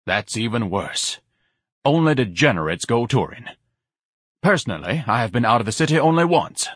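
A man's voice: mid-range voice, big pitch swings, normal speed, no room sound, studio quality. A man voicing a pompous, self-pitying, overeducated fat man who speaks in grand, ornate phrases and is easily outraged, in an audiobook. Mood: haughty lecturing.

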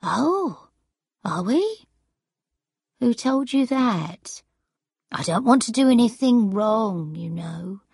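An elderly, childlike grandmother played by a woman narrator in a storybook, muddled and suspicious yet stubborn. Her voice is husky and slightly creaky, wandering from question to question. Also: big pitch swings, takes it slowly, long pauses, darkish tone, no room sound, studio quality, heavily acted. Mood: confused, anxious. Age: older. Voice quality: strange high singing voice when breaking into song.

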